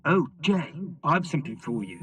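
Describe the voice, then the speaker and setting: A man's voice: on the high side, very animated, a little fast, a bit bright, slight background noise. An actor playing a friendly, encouraging schoolboy in an audio drama, casual and eager with his best friend. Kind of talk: cinematic audio drama acting.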